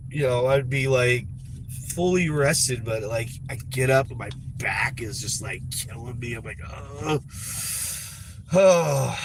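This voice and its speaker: A man's voice: medium pitch, noisy background. A man with a stuffed-up nose, chatting casually in a rambling vlog, repeating words and trailing off with sighs. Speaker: vlogger.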